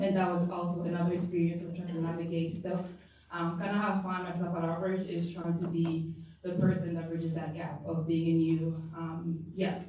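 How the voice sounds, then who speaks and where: A woman's voice: lowish voice, mellow, a little hiss or hum. A woman from Rwanda, a community engagement manager, talking conversationally on a panel with restarts. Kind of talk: panel introduction. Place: large room at a public forum. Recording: echoey room, distant mic.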